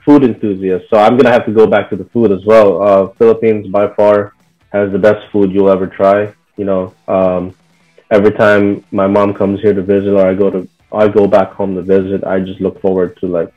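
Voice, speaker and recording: A man's voice: lowish voice, normal speed, highs cut off, faint music behind. A young man from Bahrain being interviewed, talking casually with lots of "like" and "you know". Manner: relaxed, full of "like" and "you know" fillers. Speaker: interview guest.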